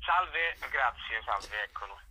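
A man, an actor and director giving an informal interview as a guest, expressive and halting as he explains things with homely examples. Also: mid-range voice, a crowd in the background, low-quality recording.

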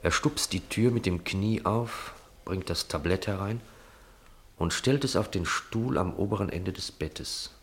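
Low-pitched male narrator. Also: unhurried. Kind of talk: literary scripted narration for a radio play.

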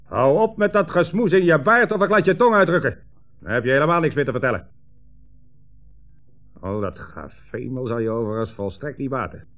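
An actor playing a menacing, sarcastic communist commissar in a 1960s radio drama, speaking imperiously and with contempt. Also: male voice, mid-range voice, animated, brisk, lightly acted. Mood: angry, hectoring. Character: menacing communist commissar, sneering, bullying, coldly sarcastic interrogator. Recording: tinny, band-limited old radio recording, faint music.

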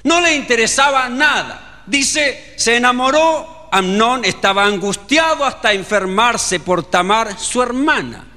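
A Chilean man preaches in a bright, sharp voice that swings widely in pitch. He takes his time and tells his story like a storyteller. A long reverb from the hall trails each phrase. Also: expressive, measured pace, hardly any pauses. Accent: Chilean Spanish. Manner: storytelling preacher, acting out dialogue. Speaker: pastor. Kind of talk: sermon.

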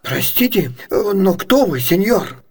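Comic radio role: blustering old merchant, irascible, high-pitched, spluttering and sceptical. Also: male voice, big pitch swings, unhurried, a little mellow, breathy edge, exaggerated voice. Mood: baffled, doubtful. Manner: spluttering, exclamatory. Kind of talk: theatrical comic radio play acting.

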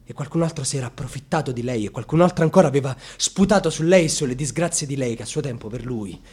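Proud, hurt grandson, radio drama monologue; husky, highly dynamic delivery from hushed confiding to fierce. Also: male voice. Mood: furious, impassioned.

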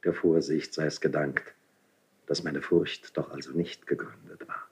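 A man's voice: rumbling low, steady tone, a little slow, breathy edge, exaggerated voice. A man acting an insinuating, obsequious royal priest in a 1970s German radio play, solemn and classical in delivery. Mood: cautious, deferential. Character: insinuating, unctuous royal priest, feigning reluctance while accusing.

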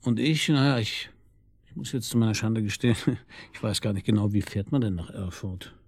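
A man's voice: on the low side, moderate pace, darkish tone, dry recording, studio quality. An actor playing a police detective in a naturalistic radio drama, wry and probing, hesitant as he thinks aloud. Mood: wry, pondering.

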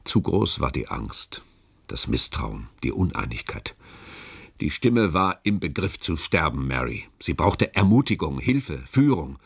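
An actor playing a calculating, grave older department chief in a German radio play, speaking with deliberate, persuasive rhetoric and a gravelly, husky voice. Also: male voice, very deep voice, big pitch swings, exaggerated voice.

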